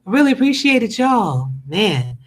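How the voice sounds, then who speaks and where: Hoarse man hosting a show. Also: high-pitched, very animated, moderate pace. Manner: upbeat, drawling, many ums. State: losing his voice. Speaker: podcast host. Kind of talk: casual chat show hosting.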